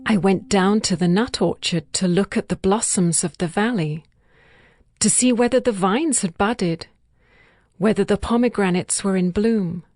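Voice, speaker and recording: Woman acting a lovesick young bride, slow and yearning. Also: medium pitch, a little mellow, no room sound, clean studio sound, close to an everyday voice. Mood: tender. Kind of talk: dramatized scripture reading. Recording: music bed behind the voice.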